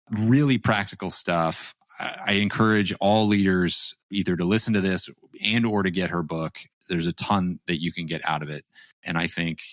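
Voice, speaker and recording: A man's voice: low voice, fairly bright tone, no echo, clean studio sound. A man hosting an interview podcast, introducing his guest and asking questions in an earnest, upbeat way. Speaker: podcast host.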